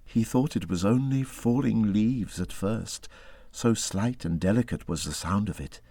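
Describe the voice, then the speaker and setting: A man reading a classic children's animal story as scripted narration, in a dramatic, expressive style that moves between hushed and urgent. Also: on the low side, unhurried. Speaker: audiobook reader.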